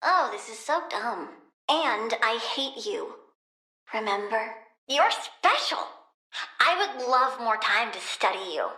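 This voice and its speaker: High, girlish, bratty plant-girl supervillain. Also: slow pace, reverberant, exaggerated voice, rough recording. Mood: disgusted, petulant, then curious, chirpy. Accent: American. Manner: girlish, expressive, blunt, flippant. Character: bratty, curious young female plant-human supervillain reborn as a girl. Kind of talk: animated video game voice acting.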